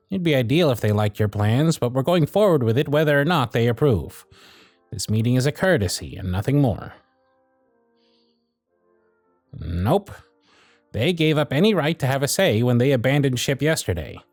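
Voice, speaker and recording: Casting: male actor as gruff, fond elf boss for ASMR roleplay, sardonic, very lively intonation, dry close sound, music behind. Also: medium pitch, dry recording. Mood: reassuring. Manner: bossy, teasing, sardonic.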